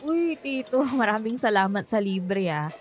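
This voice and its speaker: A woman's voice: mid-range voice, lively intonation, measured pace, slight background noise, natural voice. An actress playing a friendly young Filipino woman in a radio drama, speaking politely with a playful, slightly hesitant manner and a Filipino accent. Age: young adult. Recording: background music.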